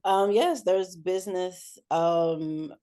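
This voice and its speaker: A woman giving conversational interview answers as a guest, often pausing mid-sentence. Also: lowish voice, warm tone. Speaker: interview guest, air traffic control supervisor and businesswoman.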